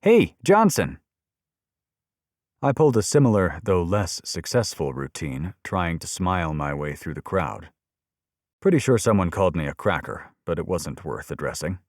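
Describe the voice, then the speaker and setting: Low-voiced man narrating a crime novel. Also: very animated, moderate pace, a little mellow, no echo, studio quality. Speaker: audiobook narrator. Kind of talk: scripted first-person crime fiction narration.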